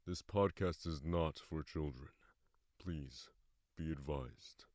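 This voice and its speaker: A man's voice: extremely low pitch, takes it slowly, a little mellow, dry recording, clean studio sound. A man reading a scripted content warning as an announcer.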